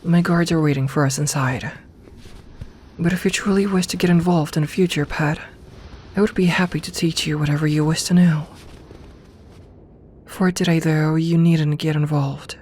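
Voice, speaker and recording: A woman playing an imperious serpent queen in an ASMR audio roleplay, speaking formally and with measured dignity, commanding yet tender toward her companion. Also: low-pitched, fairly even intonation, darkish tone, close to an everyday voice. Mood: fond, reassuring. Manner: regal, formal, measured speech. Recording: ambient noise behind the voice.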